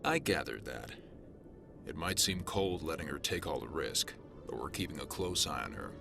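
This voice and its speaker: A man playing a gruff, pragmatic alien police detective in a video game, blunt and brusque. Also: extremely low pitch, very animated, moderate pace, music in the background, exaggerated voice. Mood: reproachful. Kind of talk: game voice acting.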